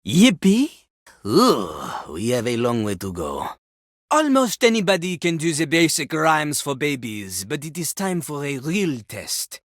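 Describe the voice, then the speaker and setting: A woman's voice: sits low, big pitch swings, unhurried, no room sound, studio quality, exaggerated voice. A woman voicing a cocky male talking toilet who fancies himself a rapper, with a French accent and a theatrical, pompous manner in a video game. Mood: brash, challenging, then pompous, scornful. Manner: pompous, theatrical, refers to himself in third person. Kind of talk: animated video game character acting.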